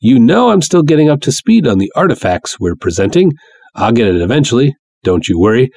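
A man's voice: medium pitch, very animated, a little fast, creaky, a little mellow, breathy edge, pitched above the performer's own voice, heavily acted. A man voicing a brash, jovial, sly showman promoter with folksy salesman patter in a pulp story reading. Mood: breezy, bossy. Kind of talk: dramatic reading of pulp fiction.

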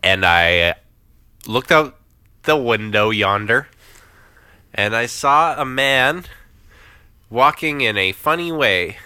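A man's voice: medium pitch, animated, slow, pauses often, bright, forceful tone, smooth, no echo, studio quality. A man co-hosting a casual podcast chat, sniffly with a cold, rambling and self-deprecating as he trails off. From Canada. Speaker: podcast co-host. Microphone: studio microphone.

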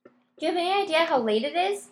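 A woman's voice: extremely high pitch, animated, fast. A woman playing an annoyed, puzzled character in a radio play. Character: annoyed, puzzled woman woken late at night. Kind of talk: old-time radio play acting. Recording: roomy, echoing, slightly noisy recording.

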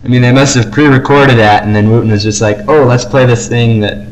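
A man's voice: mid-range voice, steady tone, fairly quick. A man co-hosting a casual fan podcast, talking about things he likes with effusive enthusiasm. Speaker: podcast co-host. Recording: noisy amateur podcast recording.

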